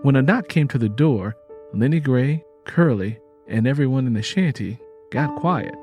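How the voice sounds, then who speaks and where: A man's voice: medium pitch, expressive, moderate pace, a little mellow, soft background music. A man reading a scripted story as an audiobook narrator.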